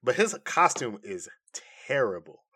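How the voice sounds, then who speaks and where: Guy cohosting a nerd review podcast, normal pitched voice, chatty and informal. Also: sing-song, unhurried, bright, forceful tone, slightly rough. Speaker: podcast co-host. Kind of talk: casual banter reviewing movies and shows.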